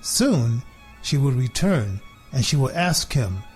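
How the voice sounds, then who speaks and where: Smug, possessive storybook cat, voiced by a man, mid-pitched, slow and measured, music behind. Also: big pitch swings, breathy edge, full character voice. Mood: smug, unhurried. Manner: deliberate, self-satisfied storybook telling with pauses. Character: smart, smug, possessive pet cat telling its life. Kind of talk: children's story reading.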